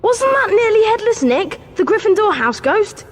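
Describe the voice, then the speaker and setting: A woman playing an excitable, earnest school-age wizard in a video game. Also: very high-pitched, normal speed, big-room sound. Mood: awed, eager. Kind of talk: video game character acting. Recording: music behind the voice.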